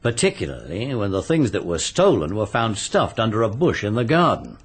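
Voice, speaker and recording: Radio-drama investigator, English accent, low voice, wide intonation, dry, matter-of-fact, sceptical. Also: male voice, very animated, moderate pace, breathy edge, full character voice. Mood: dry, speculative. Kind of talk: radio mystery drama acting.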